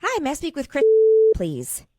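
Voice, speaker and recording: A woman with a slightly high voice gives a phone sales pitch. She is chatty and polite, and the sound is dry and close. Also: huge pitch swings, moderate pace, darkish tone, no echo. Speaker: prank caller posing as a florist's agent.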